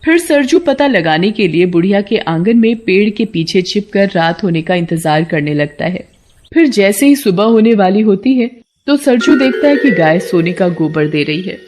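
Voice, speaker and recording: A woman's voice: medium pitch, big pitch swings, moderate pace, darkish tone, soft background music. A woman narrator reading a scripted cartoon folktale in a storytelling style.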